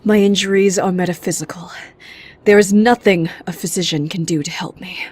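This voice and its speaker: A woman voicing a proud, imperious Amazon warrior in an animated comic, speaking in a haughty, curt and blunt manner. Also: lowish voice, moderate pace, husky, no room sound. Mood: pained, proud.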